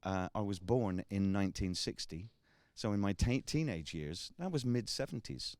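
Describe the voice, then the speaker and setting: A man's voice: lowish voice, lively intonation, moderate pace, darkish tone, no room sound, clean studio sound. A sixty-year-old man, a big band director and trumpeter, chatting casually in an interview with many ums and restarts. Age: 60s. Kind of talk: casual radio interview chat.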